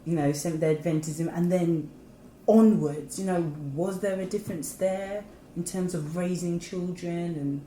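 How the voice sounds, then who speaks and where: Deep, warm-voiced female co-host. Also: moderate pace, few pauses. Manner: chatty, long winding questions. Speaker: podcast co-host. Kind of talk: interview questions. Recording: echoey room, faint noise.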